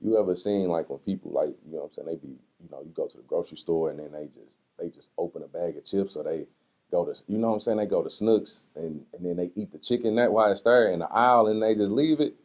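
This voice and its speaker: Deep-voiced man in candid casual chat. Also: quick pace, muffled recording. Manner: slangy, conversational, animated retelling with acted-out quotes. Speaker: interview guest. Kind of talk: casual candid interview talk answering personal questions.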